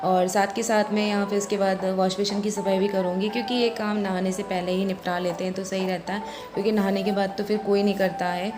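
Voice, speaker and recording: A woman with a hoarse voice, chatting through her home chores as a vlog voice-over and explaining each step. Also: medium pitch, little pitch movement, a little fast, hardly any pauses, music in the background. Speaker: vlogger.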